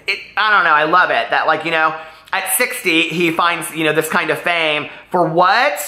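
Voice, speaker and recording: A man with a bright, forward voice speaks casually and directly to his viewers. He gushes and rambles, leaning hard on words for emphasis. The recording is roomy, with audible echo around the voice. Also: fairly high pitch. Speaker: book reviewer vlogger. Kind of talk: casual chatty book review to camera. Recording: roomy, echoey room sound.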